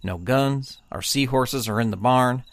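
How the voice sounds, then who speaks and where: A man playing a calm, heroic cowboy deputy fish with a Western drawl in a cartoon audio drama. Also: medium pitch, a little slow, dry recording, lightly acted. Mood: downcast. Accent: American Western drawl, put on.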